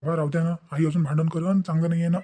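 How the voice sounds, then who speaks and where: A man's voice: a little high, level tone, very fast, dark tone, natural voice. A man playing an assertive older father-in-law with a Varhadi Marathi accent in a cartoon family drama, insistent and repeating his reassurances. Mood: reassuring, determined. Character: assertive older father-in-law determined to help the young couple.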